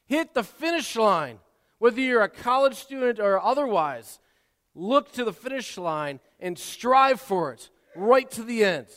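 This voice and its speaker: A man preaching a sermon, swinging between quiet asides and loud, impassioned exhortation, often repeating and restarting words. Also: sits high, animated, measured pace. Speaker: pastor. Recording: long hall reverb.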